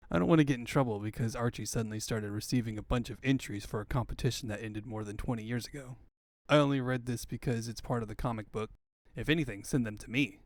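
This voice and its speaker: A man's voice: medium pitch, a little mellow, no echo. Casual man in a dry recording. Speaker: fandub narrator. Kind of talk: casual aside to viewers.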